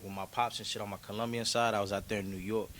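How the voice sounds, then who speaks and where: Laid-back young man, low voice. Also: flat delivery, fast, a bit bright, slight background noise. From Memphis, Tennessee. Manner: laid-back, slangy, storytelling with frequent "you feel me". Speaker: rapper guest. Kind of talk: casual interview chat.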